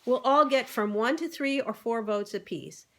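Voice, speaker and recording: Woman voicing an excitable, bossy storybook pig orator. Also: a little high, moderate pace, pitched above the performer's own voice, close to an everyday voice. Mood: exasperated. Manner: emphatic, exclamatory, persuasive speechmaking. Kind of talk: animal storybook read-aloud.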